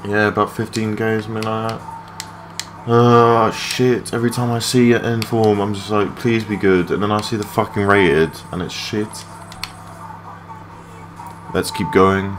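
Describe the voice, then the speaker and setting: A young man with a low voice giving rambling, matey, sweary gameplay commentary in a casual unscripted way. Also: level tone, a little slow. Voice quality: low-pitched voice. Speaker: gaming video creator. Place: his room at home. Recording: clearly noisy home mic recording.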